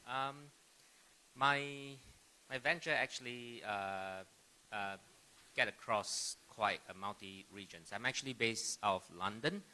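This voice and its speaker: A middle-aged man from Malaysia introducing himself on a panel, speaking in a halting way with repeated words. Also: fairly low pitch, pushed and bright, low-quality recording. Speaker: panelist, academic and AI company founder. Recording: live stage microphone with clear background noise.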